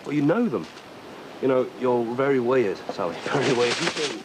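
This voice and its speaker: A man playing an excitable young man who rambles and repeats himself in a TV sketch comedy. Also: mid-range voice, moderate pace, background noise, natural voice. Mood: rambling. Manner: hyper and rambling.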